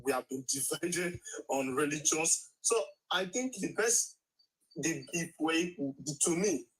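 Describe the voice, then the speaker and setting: A man's voice: a little high, slow, a bit bright, slightly rough, rough recording. A man with a Nigerian accent, speaking hesitantly and searching for words in a casual debate.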